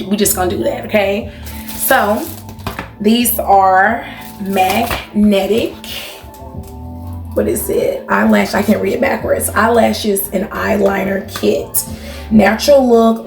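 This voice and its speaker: A woman in her thirties chats like a beauty vlogger in an echoey room, with music in the mix. Her pitch sits in the middle for a woman. She talks casually and familiarly to her audience, tossing in emphatic check-ins. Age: 30s. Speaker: beauty vlogger and channel host. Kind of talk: casual, chatty vlog talk to camera.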